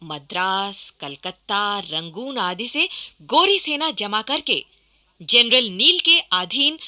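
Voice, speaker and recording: A woman's voice: mid-range voice, animated, slow pace, pushed and bright. A woman delivering formal, dramatic scripted narration for a historical radio play in a declamatory, emphatic manner.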